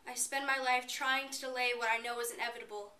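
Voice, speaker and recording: Woman acting a high-voiced despairing college girl, roomy recording. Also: fairly even intonation, fast talker, bright, forceful tone. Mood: despairing, insistent. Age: young adult. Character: despairing suicidal college girl, bitter and pleading. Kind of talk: dramatic stage monologue. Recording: roomy, distant mic, faint noise.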